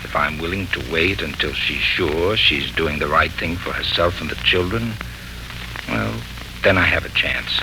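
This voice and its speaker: Deep-voiced, rueful lovelorn suitor. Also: male voice, a little slow. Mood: rueful, anxious. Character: impatient lovelorn suitor, wry and self-mocking. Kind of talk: 1940s radio drama acting. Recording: noisy 1940s radio broadcast recording.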